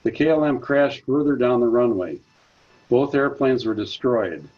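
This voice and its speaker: A man's voice: medium pitch, highs cut off. A man presenting a prepared talk at a senior center, reading steadily from his notes. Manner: measured, reads from notes.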